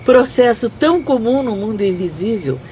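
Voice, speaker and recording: An actress with a Brazilian accent playing a serene, instructive spirit sister in a radio drama, with formal, didactic speech. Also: female voice, on the high side, lively intonation, normal speed, a little mellow, lightly acted. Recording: dull audio with faint background noise.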